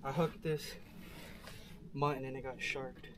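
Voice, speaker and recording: A man's voice: mid-range voice, level tone, unhurried. A young man hosting a casual fishing vlog, talking to viewers from a small open boat at sea. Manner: laid-back, talks to viewers while fishing. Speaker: fishing channel host. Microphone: action camera on the boat. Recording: outdoor action camera with wind and water noise.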